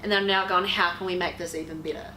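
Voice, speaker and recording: A woman's voice: on the low side, steady tone, pushed and bright. Bubbly New woman hosting. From New Zealand. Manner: bubbly, chatty, quick to laugh. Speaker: podcast host. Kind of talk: casual chat. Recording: echoey room with faint noise.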